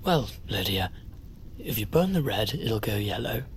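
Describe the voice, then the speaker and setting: An actor playing a mild, eccentric uncle who muses philosophically in a gentle, halting way, in an audio drama. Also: male voice, fairly low pitch, sing-song, a little hiss or hum. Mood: gently curious. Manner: gentle, halting, deliberate musing.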